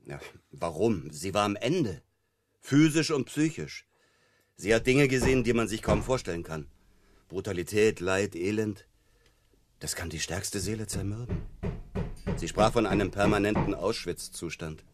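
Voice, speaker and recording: Actor as a low-voiced, measured male psychologist. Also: expressive, noisy background, natural voice. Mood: grave. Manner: measured, explanatory, with hesitations. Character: troubled male psychologist, hesitant, measured, earnest. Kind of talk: naturalistic German radio crime drama acting.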